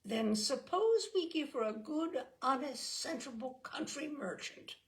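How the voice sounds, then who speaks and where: A woman reading the lines of a genial older gentleman who gently questions and teases. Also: high-pitched, animated, measured pace, pitched above the performer's own voice. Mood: teasing.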